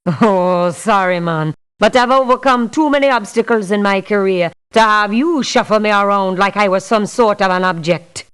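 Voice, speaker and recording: A woman playing a tough, principled mercenary for hire in a video game, speaking in a blunt, firm, measured way. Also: medium pitch, clean tone, close to an everyday voice. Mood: firm, dismissive. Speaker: mercenary character in a strategy game. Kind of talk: video game voice clips, scripted refusals and barks.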